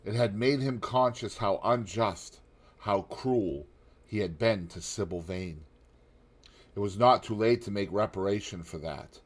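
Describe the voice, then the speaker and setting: A man with a somewhat low voice narrates a classic novel in a measured, steady reading. He lets his voice sink low and soft on grave passages. The delivery is scripted, unhurried audiobook narration.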